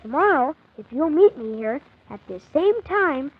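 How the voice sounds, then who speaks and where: A woman's voice: high voice, very animated, measured pace. A woman playing an earnest, polite young orphan boy who tells his story wide-eyed in a 1940s children's radio drama. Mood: wide-eyed narrating. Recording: thin, phone-like 1940s radio recording.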